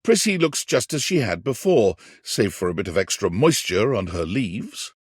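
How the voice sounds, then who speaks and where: A man playing a whimsical, wry yet tender storybook narrator in a video game, with theatrical, deliberate phrasing. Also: on the low side, sing-song, breathy edge, dry recording, studio quality. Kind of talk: scripted second-person storybook narration.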